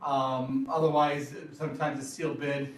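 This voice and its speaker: A man answering questions at a school board meeting, casual and halting. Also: mid-range voice, level tone. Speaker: school superintendent. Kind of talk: explaining at a meeting. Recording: distant echoey room mic, faint noise.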